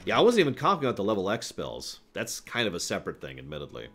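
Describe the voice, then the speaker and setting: Guy streamer w a lowish voice talking thru game stuff, really stresses his points, music behind him. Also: huge pitch swings, racing, a bit bright. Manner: emphatic, explanatory, checks understanding with short tag questions. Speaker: livestream host. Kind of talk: casual livestream chat about video games.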